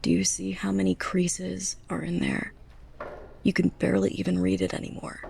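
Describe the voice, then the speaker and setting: A young woman playing a sarcastic, scolding, snobbish librarian's assistant in a close-up ASMR roleplay, breathy and often near a whisper. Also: fairly low pitch, little pitch movement, normal speed, a little mellow, a little hiss or hum. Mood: exasperated, scolding. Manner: sarcastic, exasperated, pointed delivery.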